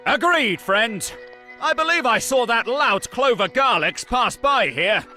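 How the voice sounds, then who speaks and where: A man voicing an earnest, excitable townsman in a cartoon game. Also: very high-pitched, a little slow, soft background music, exaggerated voice. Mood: heartfelt. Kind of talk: animated cartoon game voice acting.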